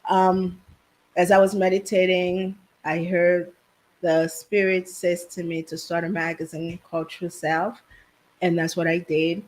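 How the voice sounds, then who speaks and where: A woman from Haiti raised in New Jersey, a business owner telling her story softly as a guest on a video call. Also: fairly low pitch, level tone, a little slow, rough recording. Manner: soft-spoken storytelling with laughs, quiet. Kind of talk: casual interview chat.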